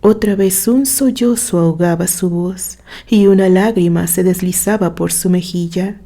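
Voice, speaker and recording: A woman narrating a scripted story in a gentle, sentimental way. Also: fairly low pitch, a little slow.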